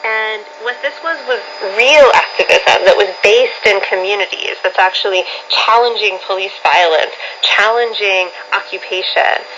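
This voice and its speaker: A muffled, noisy shortwave signal carries a woman with a mid-pitched voice. She speaks as an interviewed commentator, unscripted, articulate and earnest. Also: moderate pace, hardly any pauses, fairly bright tone.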